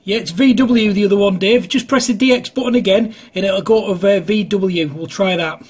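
A man's voice: high-pitched, a little fast, breathy edge. A man chatting casually as an amateur radio operator, recorded indoors at his radio desk. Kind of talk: casual two-way radio chat.

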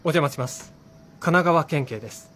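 An actor playing a polite, businesslike, reassuring police officer in a Japanese radio drama. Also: male voice, fairly high pitch, normal speed, background noise, natural voice. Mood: formal.

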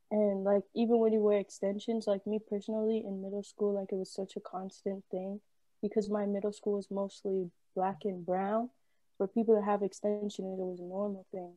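Flat, mellow teenage girl. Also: medium pitch, no room sound, rough recording. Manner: casual, searching for words. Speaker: student panelist. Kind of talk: casual panel discussion. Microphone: webcam video call.

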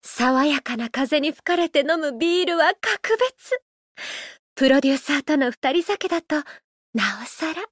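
A woman voicing a gentle, playful idol who loves a drink, speaking politely and teasingly in a mobile game. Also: sits high, no room sound, studio quality. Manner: polite, unhurried, teasing, softly flirtatious. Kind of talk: Japanese mobile game character voice lines.

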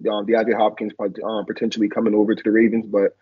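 Low, flat-voiced young man on a video call. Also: fast talker, breathy edge. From Liberia, raised in Philadelphia. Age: young adult. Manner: reflective, many fillers like "you know" and "um". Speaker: guest, former college football player. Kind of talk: casual interview on a video call. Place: small room indoors. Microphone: video call, laptop camera. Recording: dull lo-fi video call audio.

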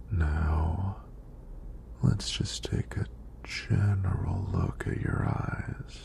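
A man playing a gentle, flirty EMT in a soothing, soft-spoken ASMR roleplay, breathy and deep, with reassuring praise. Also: heavily acted. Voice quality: deep, gravelly, breathy near-whisper. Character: gentle, flirty hunky male EMT examiner.

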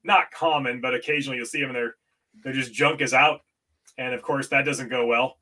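A man's voice: mid-range voice, fairly even intonation, fairly quick, pushed and bright. A man in his fifties, a reptile breeder guesting on a video-call podcast, telling stories in a laughing, self-deprecating way. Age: 50s. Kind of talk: casual chat. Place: home room with green screen. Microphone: studio mic on video call.

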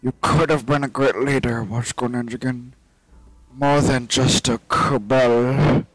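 A man voicing a grand, fanatical fantasy game king with a loud, drawn-out, declamatory delivery. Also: medium pitch, fairly even intonation, faint music behind, lightly acted, rough recording. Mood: solemn.

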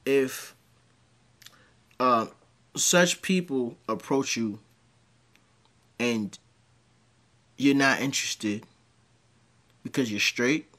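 A man's voice: medium pitch, very slow, pauses often. A man, telling crude stories in a home-studio reaction commentary. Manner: animated, rambling storyteller with crude jokes. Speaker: commentary host. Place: dim home room with green light.

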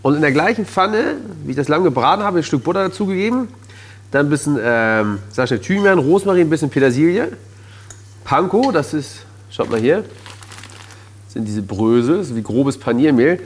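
A man's voice: a little high, animated, moderate pace, a little hiss or hum. A man in his thirties hosting a cooking show in a studio kitchen, chatty and jokey, explaining each step while he cooks. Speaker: TV chef and host. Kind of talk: casual cooking show chat.

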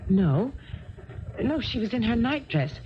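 Anxious, genteel young heiress, muffled. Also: female voice, a little high, sing-song, measured pace. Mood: sombre, earnest. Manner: earnest, proper, formal. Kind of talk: 1970s radio drama acting. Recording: muffled, noisy 1970s radio recording.

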